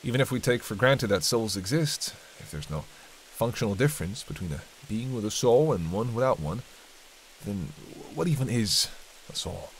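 A man's voice: on the low side, moderate pace, rough recording. A man playing a sardonic, teasing vampire in an intimate roleplay, talking in a conversational, bantering way with dry sarcasm. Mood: musing, bantering. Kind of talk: intimate audio roleplay monologue. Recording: steady hiss and rain behind. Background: rain.

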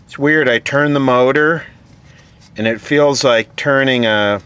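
Man, slow pace, medium pitch, casual hands-on repair narration, halting, thinking out loud. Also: smooth. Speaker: host, vintage radio repairer. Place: beside an old console radio being worked on.

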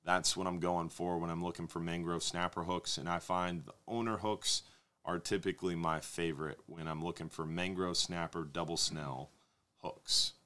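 Low-voiced, smooth man. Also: normal speed. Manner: relaxed, conversational, reads viewer questions aloud. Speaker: fishing show host. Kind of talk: live Q&A stream. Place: studio set with desk. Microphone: desk microphone on stand.

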